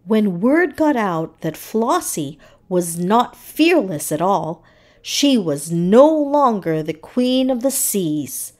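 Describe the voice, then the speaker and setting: A woman reading a children's storybook aloud as narrator, with dramatic, deliberate phrasing. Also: medium pitch, big pitch swings, darkish tone.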